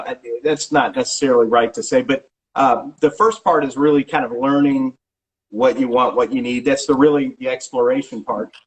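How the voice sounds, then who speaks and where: A middle-aged man working as a real estate agent, answering interview questions in a casual, halting way with frequent restarts and filler sounds. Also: mid-range voice, rough recording. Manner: halting, many restarts and ums.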